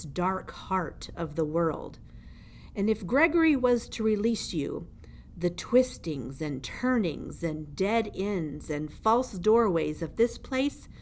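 A woman voicing a grim, theatrical old male dungeon jailer in a storybook, ominous and emphatic. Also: fairly low pitch. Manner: ominous, emphatic, refers to himself in third person. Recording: noticeable background noise.

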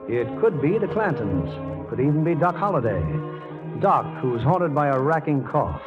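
A man's voice: fairly high pitch, very animated, moderate pace. A man hosting and narrating a scripted 1940s radio drama. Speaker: programme host. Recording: muffled 1940s radio recording with music.

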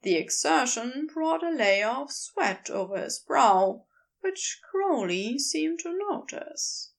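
A woman's voice: sits high, very animated, slow, creaky. A woman reading scripted story narration as an audiobook-style narrator. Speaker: podfic narrator.

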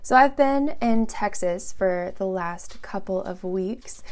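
A woman's voice: medium pitch, slow pace, darkish tone. Congested woman hosting a Bible podcast. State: congested from allergies. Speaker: podcast host.

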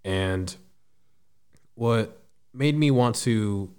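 A man in his twenties with a deep voice, a podcast guest speaking thoughtfully with frequent restarts. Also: darkish tone, clear, resonant tone. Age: 20s. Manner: thoughtful, halting, restarts sentences. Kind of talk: casual interview.

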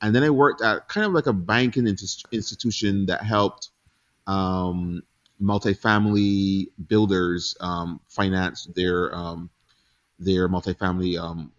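A man in his forties from Barbados, a guest chatting casually in an interview, relaxed, laughing easily and pausing with ums. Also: sits low, muffled recording. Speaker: guest entrepreneur.

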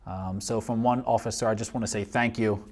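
A man who is a police officer hosting a casual podcast interview, polite and filling pauses with um and uh. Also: on the low side, fairly even intonation, a little mellow. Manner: polite, many ums and uhs.

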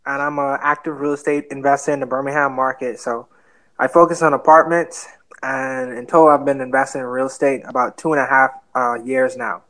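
A man's voice: medium pitch, brisk, poor audio. A man in his twenties with a Bahamian accent, talking earnestly as a guest on a podcast interview. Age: 20s. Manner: relaxed, earnest, many fillers. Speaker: guest real estate investor.

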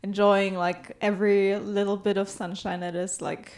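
A woman's voice: mid-range voice, flat delivery, normal speed, a little mellow. A woman from Germany with a German accent, co-hosting a podcast in casual chat and laughing often. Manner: giggly, laughs often between remarks. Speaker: podcast co-host.

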